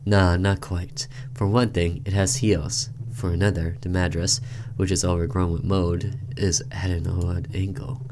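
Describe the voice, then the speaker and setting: A man's voice: deep voice, little pitch movement, a little slow, noisy background. A man doing casual let's-play commentary, reading a game's narration aloud and reacting informally as he goes. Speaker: gaming video host reading a game's text aloud.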